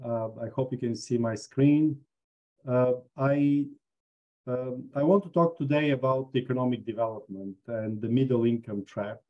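A man's voice: medium pitch, normal speed, dull audio. A man from Bulgaria, a business school dean, giving an online lecture with frequent fillers. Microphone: video call.

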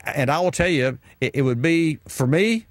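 A man's voice: fairly high pitch, lively intonation, moderate pace, no echo, clean studio sound. A man in his fifties hosting a call-in car advice radio show, talking casually and rambling with plenty of fillers and restarts. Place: radio studio with colorful sign backdrop. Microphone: studio mic on boom arm, wearing headphones.

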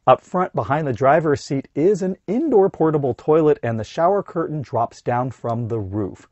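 A middle-aged man with a hoarse voice from a cold, reading a scripted camper van review inside a van. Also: medium pitch, no echo, lo-fi sound. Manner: steady, informative, teleprompter reading.

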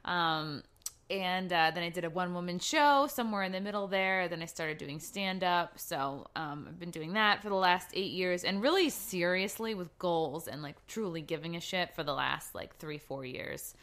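A woman's voice: on the low side, normal speed, fairly bright tone. A woman in her thirties, a stand-up comedian, chatting casually as a podcast guest, with lots of fillers and self-deprecating jokes. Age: 30s. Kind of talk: casual interview chat.

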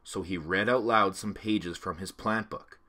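A man narrating a children's storybook read-along with clear, expressive delivery. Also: low-pitched, unhurried.

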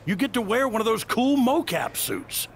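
An actor playing a laid-back male wrestling veteran in a video game cutscene, speaking in a casual, low-key, persuasive way. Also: very animated, normal speed, slight background noise, full character voice.

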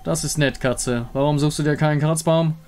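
Ein Mann spricht einen mürrischen, sarkastischen Monsterjäger in einem Fantasyspiel, brummig und widerwillig. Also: male voice, fairly high pitch, steady tone, moderate pace, a little mellow, slight background noise, lightly acted. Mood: grumbling. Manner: grumbling, sardonic. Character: gruff sarcastic male monster hunter, reluctant.

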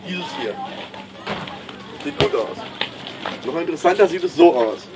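A man's voice: a little high, expressive, measured pace. A middle-aged man vlogging outdoors at a sunny marina, chatting casually and enthusiastically to the camera. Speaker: pop artist vlogging. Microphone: handheld camera mic. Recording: faint outdoor noise.

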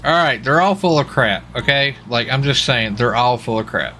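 A man with a bright, punchy voice rambles casually to his stream, circling back over his own words. There is faint noise behind him. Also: mid-range voice, lively intonation, moderate pace. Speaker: livestreamer and role-play gamer. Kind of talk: casual chat while streaming a game.

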